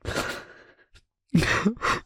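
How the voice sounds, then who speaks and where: A man playing a guilt-ridden werewolf pack leader confiding in a partner in an intimate audio drama, with a halting, heavy, emotionally raw delivery. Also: bright, forceful tone, no room sound, clean studio sound. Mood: breaking down, tearful.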